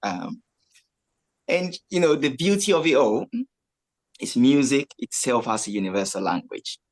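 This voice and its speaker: A man's voice: medium pitch, lively intonation, a little slow, poor audio. Chatty male radio interviewer. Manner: friendly, chatty, uses filler phrases. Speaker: radio host.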